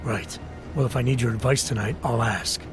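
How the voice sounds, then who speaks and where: A stoic male agent played by a game actor speaks in short, clipped phrases at a middling pitch. He is gruff and wary, with clear music behind him. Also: moderate pace, natural voice. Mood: guarded. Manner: terse, clipped. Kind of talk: video game cutscene acting.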